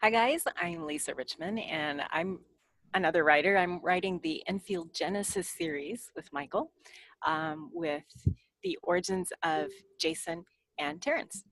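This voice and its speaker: A woman's voice: on the low side, animated, unhurried, creaky, a bit bright, no echo, poor audio. A woman writer speaking casually on a podcast. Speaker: writer, podcast crew member.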